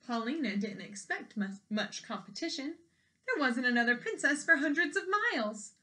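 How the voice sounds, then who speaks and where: Female storyteller for young children: crisp bright tone, higher pitch, expressive and explanatory delivery. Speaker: storyteller reading a picture book aloud. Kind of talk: expressive children's read-aloud with questions to listeners.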